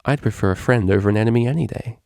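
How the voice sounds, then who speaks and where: Man voicing a casual, gravelly unicorn DJ mare. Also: lowish voice, very fast, a little mellow, dry recording, clean studio sound. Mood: friendly. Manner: slangy, informal. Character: brash, casual female unicorn DJ, quick to worry.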